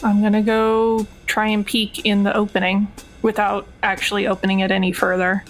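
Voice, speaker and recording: A woman's voice: medium pitch, completely flat delivery, normal speed, soft background music. A woman playing a tabletop game, chatting informally and thinking aloud with a wry manner. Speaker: player in a tabletop role-playing podcast. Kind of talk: casual game chat.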